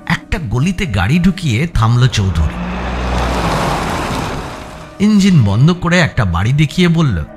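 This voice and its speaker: A man narrating a suspense audio story as its protagonist, in a measured, dramatic storytelling style. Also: mid-range voice, big pitch swings. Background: background music.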